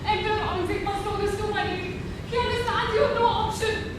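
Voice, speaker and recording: A woman plays a loyal attendant, earnest and deferential. Her voice is extremely high. The stage recording is noisy and full of echo. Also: steady tone, quick pace, distant mic. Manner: respectful, earnest. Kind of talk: stage play acting.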